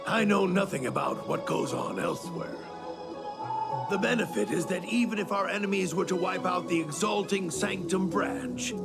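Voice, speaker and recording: A man acting as a zealous cult disciple and former spearman in a video game, with a gravelly voice and deliberate, solemn, theatrical delivery. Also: high voice. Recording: loud music under the voice.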